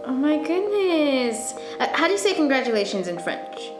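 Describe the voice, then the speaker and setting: An actress playing an earnest, guarded young student in a radio thriller, expressive and conversational. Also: female voice, high-pitched, normal speed. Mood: excited, playful. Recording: echoey room, music underneath.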